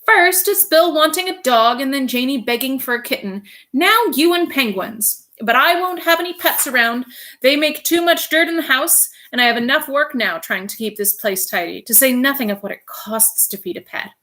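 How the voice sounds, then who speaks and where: A woman reading aloud, voicing a thrifty, sharp-tongued middle-aged housewife in a prim, fussy manner. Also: high-pitched, lively intonation, normal speed, voice pushed up. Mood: scolding.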